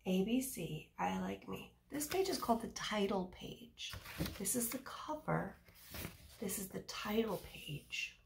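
A woman's voice: mid-range voice, lively intonation, a little slow, vocal fry, noisy background. A woman in her thirties reading a picture book aloud to young children as a teacher, explaining simply and putting questions to her listeners.

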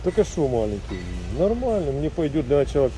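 A man's voice: on the high side, very animated, fairly quick, over music, low-quality recording. A man chatting casually with a friend while shopping in a large warehouse store. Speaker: shopping companion. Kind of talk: casual chat. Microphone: off-camera, picked up by vlogger's camera.

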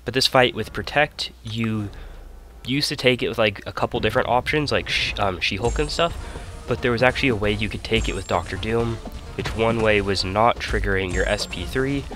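A young man with a stuffy nose giving casual, rambling commentary over his own gameplay. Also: lowish voice. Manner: relaxed, rambling, self-correcting. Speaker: gaming channel host. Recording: voice-over with loud game music behind.

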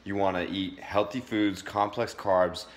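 A man's voice: sits low, steady tone, a little slow. A man in his thirties giving a casual, informal how-to talk to a phone in a home kitchen, plain-spoken like a fitness vlogger. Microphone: phone at arm's length.

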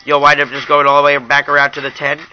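A man's voice: a little high, completely flat delivery, fast talker, smooth, highs cut off. A young man narrating a casual, hands-on app review in an informal way, talking straight to his viewers. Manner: informal, addresses viewers as you guys.

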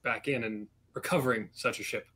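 A man's voice: mid-range voice, steady tone, a little fast, pushed and bright, husky. Man playing a formal, careful spaceship crew member. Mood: measured. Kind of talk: improvised online tabletop roleplay.

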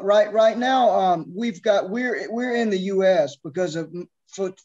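High-voiced missionary man on a webcam. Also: darkish tone. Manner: devout, hesitant speech with many restarts. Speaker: missionary, orphanage founder. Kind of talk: interview on a video call. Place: home room, beside his wife. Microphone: video call webcam. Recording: muffled lo-fi video call audio.

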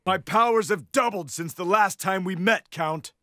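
An actor playing a cocky young Jedi warrior in a video game, defiant and declamatory. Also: male voice, high-pitched, moderate pace, sharp tone, no echo, studio quality.

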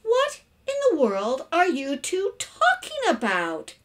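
Woman acting a high, fussing storybook mother. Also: big pitch swings, normal speed. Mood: exasperated. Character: harried, affectionate mother and housewife.

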